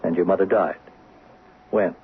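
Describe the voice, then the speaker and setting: An actor playing a frank, calmly authoritative psychiatrist in a 1970s radio drama, questioning with measured pauses. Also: male voice, low voice, a little hiss or hum. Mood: probing. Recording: thin narrow-band vintage radio broadcast.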